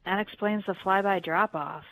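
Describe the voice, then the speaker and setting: A woman's voice: medium pitch, normal speed, a bit bright, slight background noise, lightly acted, rough recording. A woman playing an earnest, plucky young female alien in a sci-fi game audio drama, a little unsure of herself. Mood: puzzled. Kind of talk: fan audio drama, game-story character acting.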